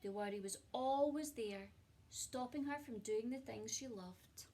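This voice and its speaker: A woman reading a children's picture book aloud in an expressive storytelling way, as a teacher speaking to young listeners. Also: a little high, measured pace.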